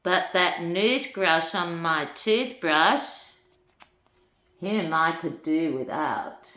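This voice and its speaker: Older woman reading slowly, echoey room. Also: fairly low pitch, lively intonation, a bit bright. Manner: unhurried, lilting, talking to young children. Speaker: grandmother reading a storybook to children. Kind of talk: read-aloud children's rhyming book with chatty asides.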